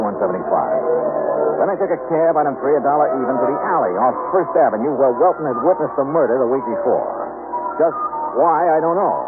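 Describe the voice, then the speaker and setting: Hard-boiled insurance investigator, played by a man, American, clipped and matter-of-fact, animated delivery, tinny old radio sound. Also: medium pitch, close to an everyday voice. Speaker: lead actor and narrator in detective drama. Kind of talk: 1950s radio detective acting. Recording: tinny vintage radio, loud music bed.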